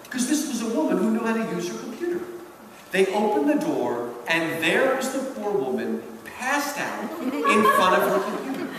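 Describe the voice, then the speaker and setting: Animated high-pitched American man in echoey hall. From New Jersey, United States. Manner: theatrical, emphatic storyteller. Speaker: lecturer. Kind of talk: animated public lecture with humorous storytelling. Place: large reverberant event hall. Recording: echoey hall from far off, noisy.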